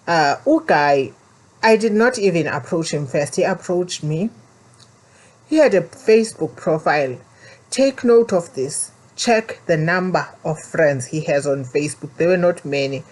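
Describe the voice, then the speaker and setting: A woman with a South African accent talks straight to her viewers, earnest and a little preachy. She repeats her warnings to drive them home. Her voice sits slightly low for a woman. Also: a little mellow, low-quality recording. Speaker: vlogger. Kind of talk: personal story and warning to viewers.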